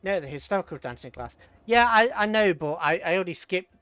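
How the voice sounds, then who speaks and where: Higher-voiced British man streaming a game. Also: animated, fairly quick, a bit bright, smooth, no room sound, faint music behind. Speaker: livestream host playing a strategy game. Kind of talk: casual live game commentary, chatting with viewers.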